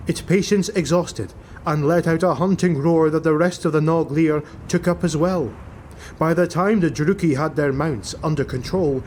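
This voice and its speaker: A man's voice: on the high side, darkish tone, slight background noise. A man reading a fantasy audiobook as a scripted, dramatic narration. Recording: music bed behind the voice. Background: music.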